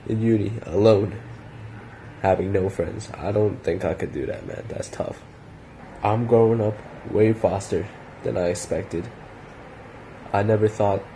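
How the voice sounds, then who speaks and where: A man's voice: lowish voice, dark tone, slight background noise, low-quality recording. A young man in his late teens, talking to camera in a loose, rambling rant full of slang and swearing, often repeating himself. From Chinese heritage. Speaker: vlogger.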